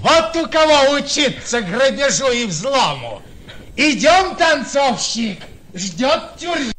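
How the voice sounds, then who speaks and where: An actor playing a gloating, menacing arresting officer in a radio play. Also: male voice, very high-pitched, big pitch swings, slow pace, a bit bright, full character voice. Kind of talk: theatrical radio drama acting.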